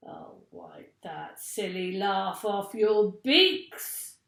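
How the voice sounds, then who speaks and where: A woman's voice: medium pitch, big pitch swings, extremely slow, gravelly, fairly bright tone, roomy sound, heavily acted. A woman voicing a fierce, spiteful, bad-tempered man from a children's storybook, barking and menacing. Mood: furious.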